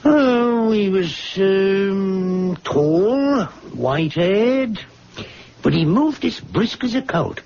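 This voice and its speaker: An actor playing a simple, friendly, gossipy working man in a radio drama, husky and unschooled in his chatty speech. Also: high-pitched, expressive, very slow, darkish tone, full character voice. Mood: chatty, admiring. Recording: dull, muffled radio drama recording.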